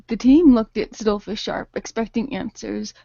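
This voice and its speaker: A woman narrating an improvised radio play as game master, with a halting delivery. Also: on the high side, vocal fry, warm tone, dry recording. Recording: dull lo-fi voice recording.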